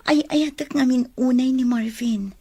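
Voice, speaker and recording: Husky, high-voiced, long-suffering Filipina girlfriend played by a woman. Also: unhurried, darkish tone, no room sound. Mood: troubled. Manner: apologetic, hesitant. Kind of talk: audio drama dialogue.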